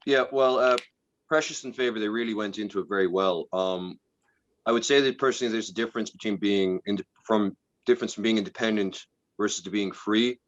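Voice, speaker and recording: A man speaking as a panel guest, measured and analytical with hedging phrases. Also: on the low side, highs cut off. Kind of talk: discussion.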